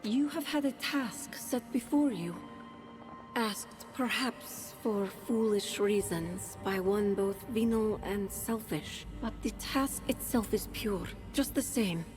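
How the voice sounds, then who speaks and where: Slow, serene, solemn alien ruler. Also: female voice, medium pitch, lively intonation, music in the background. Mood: earnest, solemn. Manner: measured, solemn, lyrical. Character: calm, earnest alien woman, serene stand-in ruler pleading for her people. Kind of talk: video game dialogue acting.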